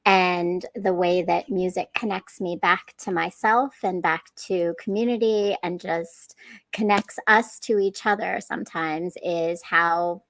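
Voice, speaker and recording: A woman's voice: fairly low pitch, steady tone, unhurried, no echo. A woman hosting an interview podcast, introducing and questioning her guest with emphatic phrasing. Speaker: podcast host.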